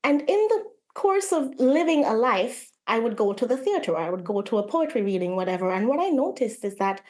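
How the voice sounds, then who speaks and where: A woman's voice: on the high side, sing-song, brisk, darkish tone. A woman playwright from Canada answering in an interview, candid and informal. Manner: candid, informal, acts out quotes.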